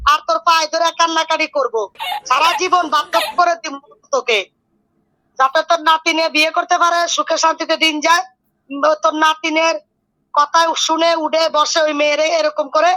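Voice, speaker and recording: Brisk, high, bright-voiced healer woman. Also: poor audio. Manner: brisk and commanding, talks down to the caller. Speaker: self-styled tantric healer. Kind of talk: phone consultation.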